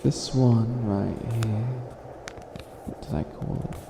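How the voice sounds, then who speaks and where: A man playing a centuries-old, boastful but bumbling evil witch in an ASMR comedy roleplay, speaking in a theatrical, halting, grandiose way. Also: mid-range voice, steady tone, creaky, roomy sound, noisy background. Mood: musing.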